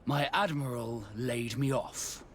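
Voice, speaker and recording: An actor playing a brisk, dry-humoured sea captain in a video game, with a clipped, commanding manner. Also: male voice, medium pitch, a little hiss or hum, natural voice. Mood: dejected. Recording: game audio with loud music behind.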